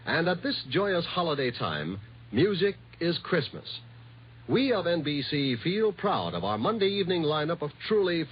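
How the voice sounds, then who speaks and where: Male network announcer, fairly high and very expressive, declamatory, muffled vintage radio sound. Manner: polished, declamatory. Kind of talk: 1950s radio promotional announcement. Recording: muffled old radio recording, faint noise.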